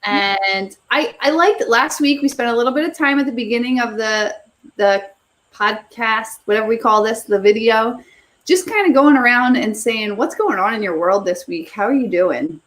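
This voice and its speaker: A woman in her thirties hosts a live video chat in a bubbly, rambling way, laughing often. Her voice sits a little high. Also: expressive, moderate pace, fairly bright tone. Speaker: host.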